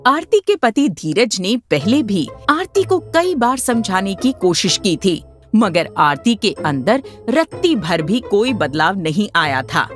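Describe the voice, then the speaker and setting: A woman reads a moral tale as narrator in an expressive, scripted style. Her intonation is lively and her pace is slow, with music under the voice. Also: medium pitch.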